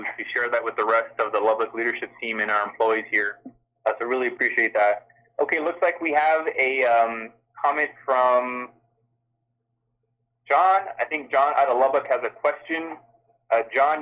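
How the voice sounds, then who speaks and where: A man's voice: mid-range voice, steady tone, normal speed. A man moderating a live question-and-answer call as an official, speaking in a courteous, formal and somewhat hesitant way. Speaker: call-in town hall moderator and health system official. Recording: dull telephone conference line.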